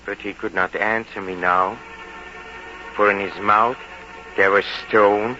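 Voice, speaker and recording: Vengeful killer, slow low-voiced gloating confession, theatrical and halting, vintage radio drama. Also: male voice, fairly even intonation, sharp tone, exaggerated voice. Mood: agitated confession. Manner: halting, repeating words, theatrical. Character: obsessive, vengeful murderer confessing, gloating and tormented. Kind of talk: 1940s radio drama monologue. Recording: muffled vintage radio recording. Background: dramatic music.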